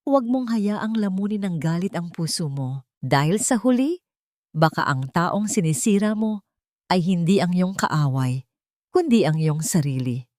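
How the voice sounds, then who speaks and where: A wise old father voiced by a woman storyteller gives gentle, measured reproof in a narrated drama. The sound is dry and close. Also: lowish voice, expressive, no room sound. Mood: gently admonishing.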